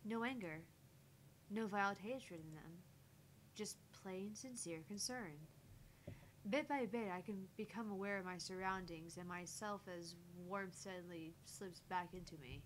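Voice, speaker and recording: A woman streamer reading a visual novel aloud as theatrical first-person narration, with deliberate phrasing. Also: mid-range voice, rough recording. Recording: loud background noise, electrical hum, clicks.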